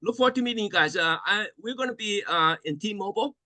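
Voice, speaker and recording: A man's voice: sits high, fast, pushed and bright, no room sound. A man from Hawaii with a Hawaiian accent, giving brief friendly replies on a video call. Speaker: team member on a call. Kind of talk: casual video call chat.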